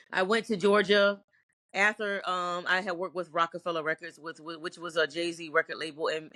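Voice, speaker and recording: A woman's voice: lowish voice, pushed and bright, dry recording. A middle-aged woman singer from Virginia, answering interview questions in a reflective, earnest way with frequent restarts.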